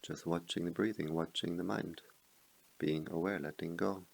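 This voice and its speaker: A man leading a guided meditation as a teacher, speaking in slow, measured phrases with long pauses. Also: sits low, fairly even intonation, vocal fry, breathy edge.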